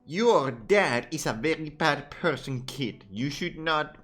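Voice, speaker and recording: A man's voice: on the high side, lively intonation, takes it slowly, a bit bright, soft background music. A man giving casual live gameplay commentary as a streamer, irreverent, muttering asides and repeating himself. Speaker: game streamer.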